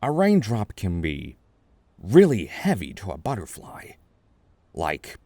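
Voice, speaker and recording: A man voicing a gentle, eccentric older chess king in an ASMR roleplay, reassuring and rambling in a halting way. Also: low-pitched, huge pitch swings, no room sound, heavily acted. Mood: musing, fascinated.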